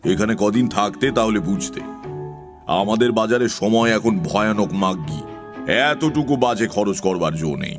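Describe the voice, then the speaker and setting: A man's voice: fairly low pitch, animated, a little slow. A man playing a fussy, argumentative, self-important crow in a children's audio story. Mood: haughty, argumentative. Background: music.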